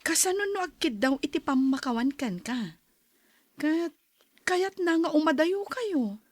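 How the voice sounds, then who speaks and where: An actress as an earnest confidante in a radio drama, counselling in a fairly high voice. Her intonation is lively and varied, and the sound is very dry. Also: measured pace, no echo. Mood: coaxing. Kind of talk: radio-style drama acting.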